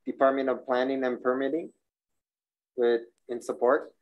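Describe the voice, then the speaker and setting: A man's voice: medium pitch, little pitch movement, normal speed, highs cut off, slight background noise. A man in his forties chairing a legislative hearing from a desk microphone in a hearing room, reading rules and calling testifiers with informal asides. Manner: informal asides, stumbles over names. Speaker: committee chair running a public hearing. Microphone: desk microphone on a stand.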